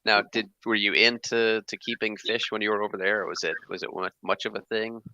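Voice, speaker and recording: Very deep gravelly man hosting. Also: little pitch movement, fairly quick, bright, forceful tone, no room sound. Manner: upbeat, says awesome a lot. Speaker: host. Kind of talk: casual livestream chat. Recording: dull lo-fi sound.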